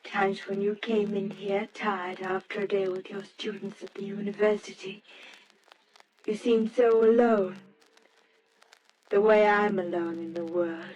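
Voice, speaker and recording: An actress playing a shy, earnest, lonely bookshop woman in an old-time radio drama, with a gentle, hesitant way of talking. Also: mid-range voice, steady tone, measured pace, slight background noise, lightly acted, low-quality recording. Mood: wistful, shy.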